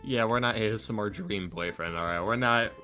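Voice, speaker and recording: Low-voiced young man, self-deprecating and animated. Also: brisk, soft background music. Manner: animated, self-deprecating, exasperated joking, slangy. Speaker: ASMR roleplay audio creator, podcast guest. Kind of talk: casual podcast chat.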